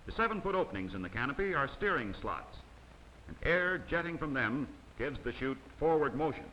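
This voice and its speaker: A man narrating an old instructional film in a formal, measured way, his voice bright and lively in pitch. The soundtrack carries a faint hiss from an old film print. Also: low-quality recording. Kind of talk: scripted documentary narration.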